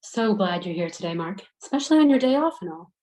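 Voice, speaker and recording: A woman acting a motherly medical professional with a mellow, mid-pitched voice. She is attentive and courteous, in the relaxed manner of a script read-through. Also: quick pace, lightly acted. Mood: fussing, attentive. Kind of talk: table-read acting of a screenplay.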